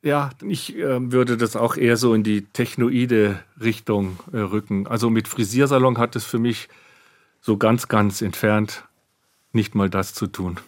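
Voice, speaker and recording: A man's voice: on the low side, fairly even intonation, a little slow, no room sound. A man working as a sound effects artist explains his craft in conversation, searching for his words in a studio. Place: radio drama studio.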